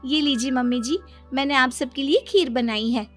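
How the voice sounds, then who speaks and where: A woman's voice: sits high, normal speed, fairly bright tone, faint music behind. A woman playing a young new daughter-in-law in a cartoon story. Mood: meek, polite.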